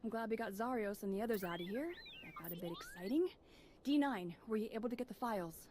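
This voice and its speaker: Spirited young Jedi heroine played by a woman: confident, lively intonation, game scene with light noise. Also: a little high, quick pace, close to an everyday voice. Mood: upbeat. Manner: eager, bold. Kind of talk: cinematic video game acting.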